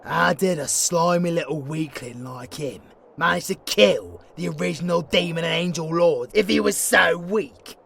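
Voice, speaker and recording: A man's voice: fairly high pitch, moderate pace, creaky, hardly any pauses, a crowd in the background. A man playing a brash young demon warrior, blunt, informal and curious, in a fantasy audio drama. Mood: questioning.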